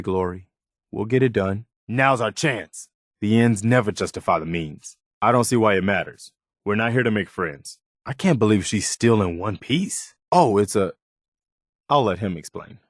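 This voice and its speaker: A man's voice: on the low side, no echo, clean studio sound. A man voicing an earnest, dutiful militia officer and loyal second-in-command in a video game, sincere and plain-spoken. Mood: determined. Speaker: video game companion character voice actor. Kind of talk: scripted game dialogue.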